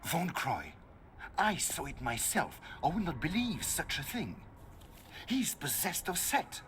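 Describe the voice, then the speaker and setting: A man's voice: on the high side, wildly swooping pitch, measured pace, a bit bright, exaggerated voice. An actor playing an anxious male ally in a video game, earnest and dramatic as he gives grave warnings. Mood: alarmed. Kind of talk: video game cutscene acting. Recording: noisy game audio with ambience.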